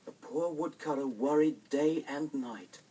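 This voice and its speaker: A man narrating a children's bedtime story in a dramatic, expressive scripted style. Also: on the high side, a little slow, slightly rough, far from the mic, low-quality recording. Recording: vinyl record with music behind.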